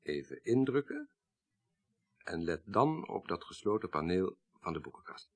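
An actor playing a cool, self-assured professor of twenty-five in a radio drama, speaking formally and precisely. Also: male voice, low-pitched, big pitch swings, measured pace, a little hiss or hum, heavily acted. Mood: quietly proud. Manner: formal, precise, dryly correcting. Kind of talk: 1980s Dutch radio drama acting.

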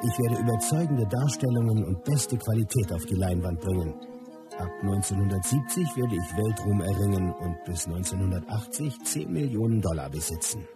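An actor playing a self-assured, ambitious martial arts master in a radio drama, making firm declarations. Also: male voice, fairly low pitch, animated, normal speed, natural voice. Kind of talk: scripted radio drama monologue. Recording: distant, very echoey, loud music behind.

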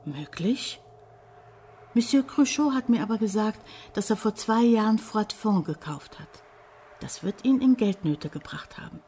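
A woman's voice: mid-range voice, lively intonation, unhurried, warm tone, slight background noise, close to an everyday voice. An actress playing a meek, pious, resigned middle-aged wife and mother in a radio drama. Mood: subdued.

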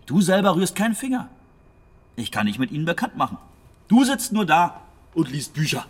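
Breezy hustler, highish voice, animated intonation, persuasive, prodding, radio drama. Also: male voice, moderate pace, breathy edge, heavily acted. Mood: pushy. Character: pushy, breezy small-time money hustler friend.

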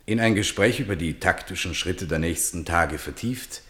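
A man narrating a literary radio play in a solemn, deliberate, declamatory way. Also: deep voice, fairly bright tone, echoey room.

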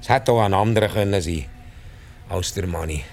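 A deep-voiced old Swiss man, rich, ruthless and ailing. He confesses in a halting, blunt, world-weary way, short of breath, over clearly noisy ambience in a radio play. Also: a little mellow. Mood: bitter, cold. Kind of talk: radio drama acting in Swiss-coloured German.

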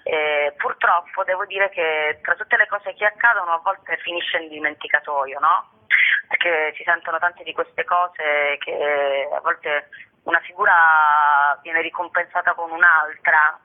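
A woman speaks over a narrow telephone line as a guest in a radio interview. She is an actress answering conversationally, in a voice that sits between middle and low for a man but is plainly female. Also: fairly even intonation, measured pace.

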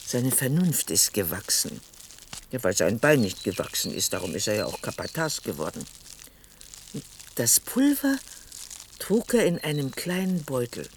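An odd, crafty old woman in a radio drama. Her pitch moves in lively swoops as she spins a story with a knowing air. A faint noise sits behind the voice. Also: heavily acted. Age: older. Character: sly, odd old aunt, rambling herb gatherer.

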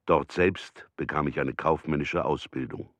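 An actor playing a formal German merchant in a documentary radio drama, reading out a letter in a measured, matter-of-fact way. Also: male voice, rumbling low, fairly bright tone, faint music behind. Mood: formal, polite.

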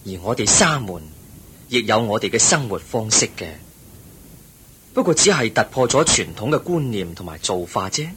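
An actor with a Hong Kong Cantonese accent playing the Buddha in a radio drama, a calm, wise teacher who explains things patiently and in measured phrases. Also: male voice. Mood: patient teaching. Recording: noisy recording with low rumble.

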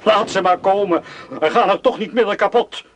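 An actor playing an embittered, weary army doctor, gruff and brusque, in a hissy old radio drama. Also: male voice, sits high, moderate pace, lightly acted. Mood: bitter, gruff.

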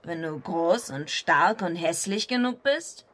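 An actress playing a blunt, defiant, streetwise young female wrestler with a husky, gravelly voice in a radio drama. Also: on the low side, animated, measured pace. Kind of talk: radio drama acting and first-person narration.

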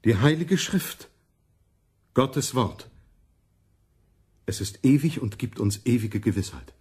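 An actor playing an earnest, stubborn and anxious monk in a radio play, insistent and plain-spoken. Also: male voice, mid-range voice, expressive, takes it slowly, a little mellow, husky.

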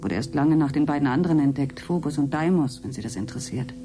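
Low-voiced, musing space scientist. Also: female voice, animated, quick pace, background noise. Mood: musing, explanatory. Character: helpful, dreamy woman cosmologist, absorbed in space. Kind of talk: German radio drama acting.

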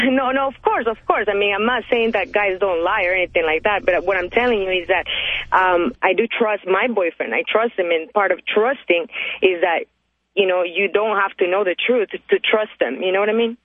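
A woman's voice: mid-range voice, a little fast, no room sound. A woman from El Salvador with a Salvadoran Spanish accent and Spanish as her first language, calling in to a radio show and chatting with plenty of fillers. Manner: chatty, laughs often, many fillers. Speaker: caller. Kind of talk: call-in radio conversation. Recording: tinny phone line.

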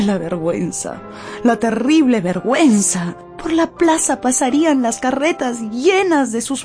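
A woman's voice: a little high, darkish tone, slightly rough. A woman narrating a radio drama from a script in a theatrical, emotive storytelling manner.

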